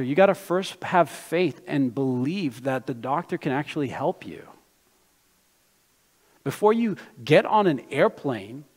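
A slightly high-voiced male pastor delivers a sermon, weaving his own life story into the message and climbing to emphatic, loud declarations. His voice rings with the long reverb of a large hall. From Brazil, raised in the United States.

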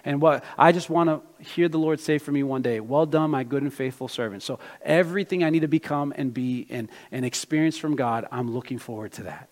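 Animated preacher in a reverberant hall. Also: male voice, medium pitch, a little fast, hardly any pauses. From Brazil, raised in the US. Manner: animated storytelling preacher, personal anecdotes. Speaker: pastor. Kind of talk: sermon.

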